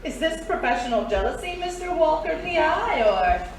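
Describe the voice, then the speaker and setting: An actress playing a sly, teasing, knowing femme fatale in a noir radio drama, coy and needling. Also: female voice, sits high, big pitch swings, moderate pace. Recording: very echoey, distant, faint noise.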